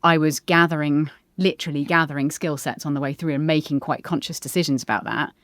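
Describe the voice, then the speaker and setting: A woman in her forties, a podcast guest, talking in a thoughtful, measured way with frequent pauses. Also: deep voice, fairly even intonation, no room sound. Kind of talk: reflective conversation.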